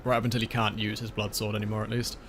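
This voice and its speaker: A man's voice: fairly low pitch, level tone, quick pace, very noisy. A man streaming a game late in a long session, tired and losing his voice, muttering wry asides to himself and his chat. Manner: muttering, self-deprecating, talking to himself and chat. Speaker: let's play streamer. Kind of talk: casual gameplay commentary.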